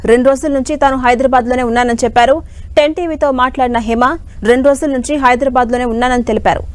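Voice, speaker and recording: A woman's voice: high-pitched, fairly even intonation, racing, no room sound. A woman reading a scripted news voice-over as a presenter.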